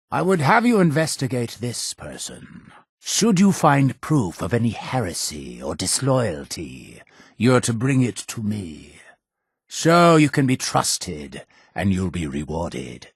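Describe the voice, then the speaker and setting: An actor voicing a stern, suspicious cult leader in a video game, fatherly yet menacing, with a husky voice and solemn, measured, preacher-like formality. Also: fairly low pitch, dry recording, clean studio sound, heavily acted. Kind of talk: scripted video game dialogue.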